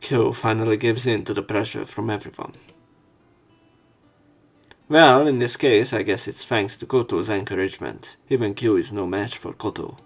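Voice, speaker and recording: A man's voice: fairly low pitch, moderate pace, creaky, soft background music. Sweary man doing game commentary. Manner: sweary, sarcastic. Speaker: let's play host. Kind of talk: casual game commentary, reading dialogue aloud.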